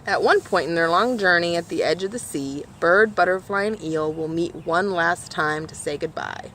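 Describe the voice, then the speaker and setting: A woman with a slightly low voice reads a picture book aloud for children. She addresses her listeners directly in an explanatory way. There is some ambient noise behind her. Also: moderate pace, a bit bright. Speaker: storybook reader for a nature organization.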